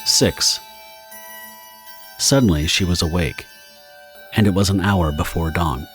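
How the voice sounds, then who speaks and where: Low-voiced male story narrator over music. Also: a little slow, a bit bright. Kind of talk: scripted dramatic story narration.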